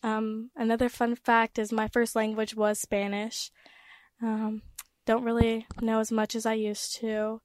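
A teenage high school girl, a guest in a casual interview, chatting with frequent fillers. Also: monotone, unhurried, no room sound. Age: teens.

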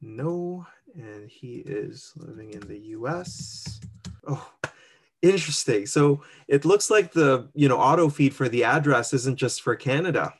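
Man, chatty, thinking aloud, casual walkthrough, mid pitch. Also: expressive, measured pace, slightly rough. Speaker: co-host walking through an online immigration form. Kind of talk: casual screen-share walkthrough.

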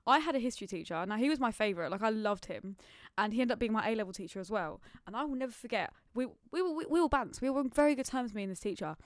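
Fast-talking young British woman. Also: fairly high pitch, dry recording, studio quality. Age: young adult. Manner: sweary, slangy, animated storytelling with acted-out quotes. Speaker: podcast co-host. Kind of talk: casual chat, telling school anecdotes.

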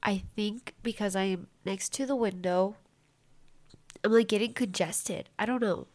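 A woman's voice: medium pitch, measured pace, a little mellow. Chatty congested woman hosting a podcast. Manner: rambling, chatty, self-interrupting. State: congested, stuffy nose. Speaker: podcast host. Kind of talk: casual diary-style podcast chat. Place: small room at a desk by a window, at home.

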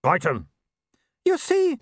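A man voicing a haughty, snobbish older werewolf baroness who talks with sharp scorn. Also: very high-pitched, huge pitch swings, slow, no room sound, studio quality, voice pushed up, heavily acted. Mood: scolding.

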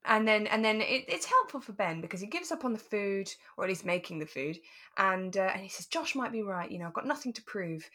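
A woman podcast co-host with a mid-range voice chats casually as she recaps a drama. She retells scenes in an animated way, slipping into quotes of what the characters said. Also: mid-range voice, brisk. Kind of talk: relaxed chat recapping a radio drama.

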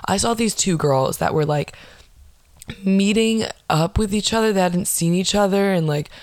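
A young woman hosting a podcast, ranting casually with lots of swearing and sarcastic mock quotes, with a low, non-nasal voice. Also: normal speed, darkish tone, no room sound, clean studio sound. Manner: sarcastic, swears a lot, acts out mocking quotes. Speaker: podcast host.

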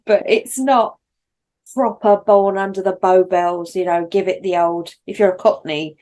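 A woman's voice: mid-range voice, steady tone, unhurried, a little mellow. Chatty London woman. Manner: chatty, rambling, laughs often. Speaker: podcast co-host. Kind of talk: casual chat.

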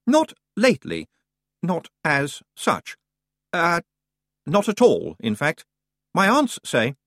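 A man's voice: fairly high pitch, huge pitch swings, takes it slowly, fairly bright tone, no room sound, pitched above the performer's own voice, full character voice. A flustered young nobleman, hesitant and apologetic, as a male narrator plays him. The voice sits raised and jumps about, close and dry. A storybook figure unsure of himself. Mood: sheepish. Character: awkward nervous young nobleman.

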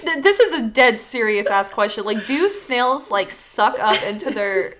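A woman with a fairly high voice tells a story as a podcast co-host. She is irreverent and sweary, slipping between reading narration and casual, joking asides. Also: animated, measured pace, noisy background. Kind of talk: casual comedic storytelling with banter.

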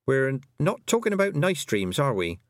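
A man's voice: medium pitch, wildly swooping pitch, moderate pace, no room sound, studio quality, voice pushed up. A man voicing a sensible, determined young girl who speaks earnestly and plainly. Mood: pleading.

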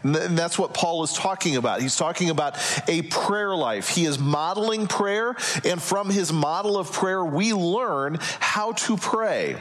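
A man's voice: sits high, lively intonation, a little slow, hardly any pauses, a bit bright. A male pastor preaching to his congregation in a church, starting with some wry, joking remarks and then moving into earnest, conversational Bible teaching. He projects his voice without a microphone. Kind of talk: sermon. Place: church sanctuary. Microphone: no microphone, loud projecting voice.

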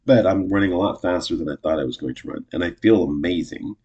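A man's voice: low voice, fast talker, warm tone, slightly rough, highs cut off. A man in his fifties talking casually to camera, rambling and correcting himself often in an upbeat way. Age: 50s. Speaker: vlogger.

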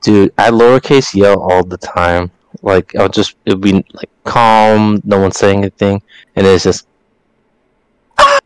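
Young man, low voice, dry deadpan quips. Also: a little slow, clean tone, no room sound, poor audio. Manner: dry, deadpan one-liners. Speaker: podcast co-host. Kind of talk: casual chat among friends.

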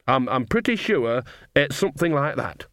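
A man's voice: medium pitch, very animated, moderate pace, fairly bright tone, dry recording, clean studio sound. A man voicing an earthy old woman, a practical, wry witch who talks bluntly and colloquially in an audiobook. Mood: reasoning. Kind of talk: audiobook character voice.

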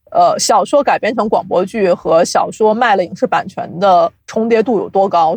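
A woman speaking casually as an interview guest, explaining things in an animated, chuckle-prone way like an industry insider. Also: medium pitch, dry recording. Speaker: guest, audio drama producer and company CEO.

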